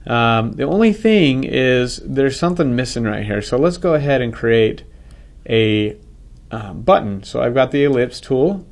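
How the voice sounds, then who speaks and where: A man with a head cold, talking casually through a software tutorial and correcting himself as he goes. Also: medium pitch, gravelly. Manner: casual, thinks aloud, self-correcting. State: congested from a cold. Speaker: software instructor. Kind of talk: screen-recorded software tutorial.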